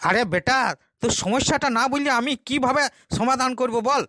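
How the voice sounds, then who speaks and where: A man's voice: very high voice, brisk, bright, forceful tone. A man voicing a sly, self-assured fake holy man in a comic cartoon, with a condescending manner. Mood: brisk, assured. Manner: commanding, condescending guru talk.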